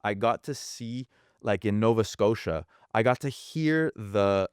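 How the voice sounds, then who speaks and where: A man's voice: lowish voice, measured pace, clean tone, no echo, clean studio sound. A man from Quebec co-hosting a podcast, chatting casually and animatedly, switching between English and French. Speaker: podcast co-host. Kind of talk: casual bilingual chat.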